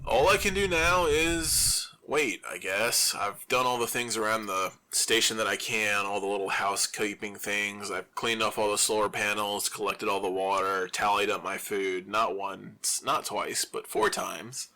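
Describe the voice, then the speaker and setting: A man acts a lone survivor of the zombie apocalypse in an audio drama, talking to his radio listeners. His voice is low but crisp and forward. He overthinks everything, rambling anxiously and haltingly with self-deprecating asides. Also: pushed and bright. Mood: weary, resigned. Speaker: fictional radio broadcaster. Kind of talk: audio drama monologue as a confessional radio broadcast.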